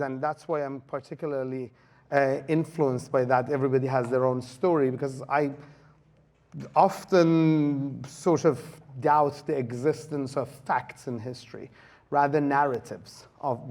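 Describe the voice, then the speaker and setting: A man giving a lecture at a conference, telling a story with many fillers and pauses. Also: a little high, darkish tone. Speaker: guest lecturer. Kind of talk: conference talk. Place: lecture hall.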